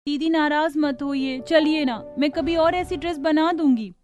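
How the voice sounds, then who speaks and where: Woman acting young cartoon younger sister, high voice, sweet, earnest, pleading, music underneath. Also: normal speed, darkish tone, natural voice. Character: kind, hardworking young seamstress, younger sister. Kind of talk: Hindi cartoon story dubbing.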